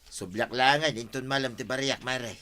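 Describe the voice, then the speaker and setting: An actor playing an easygoing husband who buys snacks on credit. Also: male voice, medium pitch, a little fast, bright, forceful tone, close to an everyday voice. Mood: casual. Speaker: husband of the household. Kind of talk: Ilocano radio drama acting.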